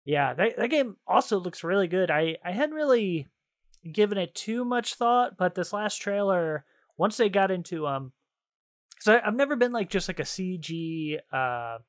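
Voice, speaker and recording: A man's voice: high-pitched, lively intonation, moderate pace, no echo, clean studio sound. A man hosting a video game podcast, chatting casually and enthusiastically in informal slang. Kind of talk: casual chat about video games.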